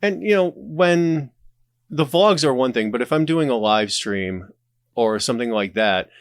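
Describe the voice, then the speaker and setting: A man's voice: medium pitch, expressive, a little slow, clean tone, no echo, clean studio sound. A man speaking as a podcast guest in a casual, candid conversational style. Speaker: podcast guest, audio drama creator and streamer.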